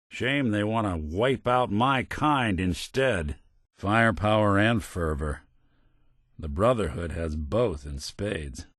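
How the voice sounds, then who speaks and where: World-weary American android gumshoe for a game: lowish pitch, very expressive swings, sardonic, humane, noir. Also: male voice, no echo, heavily acted. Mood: grave, principled. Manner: dry, sardonic, deadpan asides. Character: world-weary middle-aged male android detective, wry and humane. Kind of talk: film noir detective video game voice acting.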